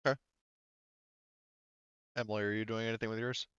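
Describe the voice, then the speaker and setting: A man's voice: fairly low pitch, level tone, fairly quick, fairly bright tone, smooth, no room sound, highs cut off. A man talking casually as game master at the wrap-up of a roleplaying session, swearing freely. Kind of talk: casual table talk wrapping up a roleplaying session.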